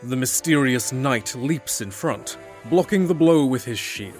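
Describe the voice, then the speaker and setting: A man's voice: medium pitch, lively intonation, measured pace, over music. A man giving dramatic, scripted fantasy narration as the storyteller.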